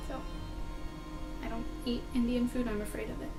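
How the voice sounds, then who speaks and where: High-voiced young American woman vlogging. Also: little pitch movement, moderate pace. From California, United States. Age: young adult. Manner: informal, self-deprecating, rambling. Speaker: video blogger answering tag questions. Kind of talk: casual chatty question-and-answer vlog. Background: background music.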